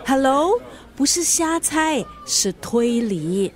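A woman's voice: sits high, lively intonation, takes it slowly. A woman acting in a radio drama as a teasing, bossy family friend who plays matchmaker. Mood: scheming, brisk.

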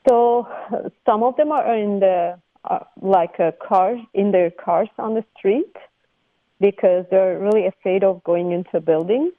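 A woman from Turkey with a Turkish accent, speaking as a guest on a news radio phone interview, halting with many fillers and restarts. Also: mid-range voice, no room sound. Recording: phone line.

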